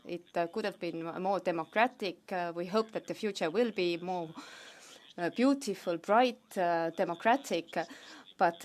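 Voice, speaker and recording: A woman interpreting a parliamentary speech into English at a middle pitch. She hesitates often and fills the gaps with many fillers and pauses.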